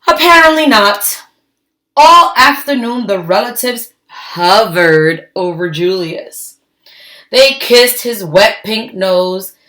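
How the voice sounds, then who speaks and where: A woman reading a storybook aloud to children, expressive and emphatic, adding her own asides between the lines. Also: mid-range voice, slow. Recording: echoey, roomy sound.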